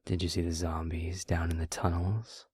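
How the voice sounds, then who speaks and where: A man playing a sarcastic, defiant male vampire outcast in an audio roleplay, grudging and belligerent, with a very raspy, half-whispered voice. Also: low voice, completely flat delivery, moderate pace, a little mellow, no room sound, clean studio sound, pitched above the performer's own voice. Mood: bitter, grudging. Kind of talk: acted fantasy audio roleplay.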